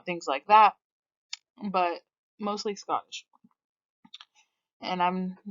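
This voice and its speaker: A young American woman talking candidly about her own life in a reflective monologue, full of casual filler phrases. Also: on the low side. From United States. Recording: slightly dull lo-fi sound.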